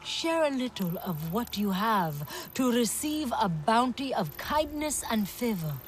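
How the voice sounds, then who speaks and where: An actress playing a dignified, protective alien tribeswoman in a video game, with a measured, formal way of speaking. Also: fairly high pitch, big pitch swings, slight background noise. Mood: hopeful, welcoming.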